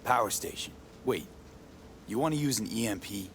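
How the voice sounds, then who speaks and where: A wary, practical male mercenary, asking pointed questions in plain words. His voice sits fairly low, with faint background noise under the game dialogue. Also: lively intonation, normal speed, a bit bright. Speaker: game protagonist.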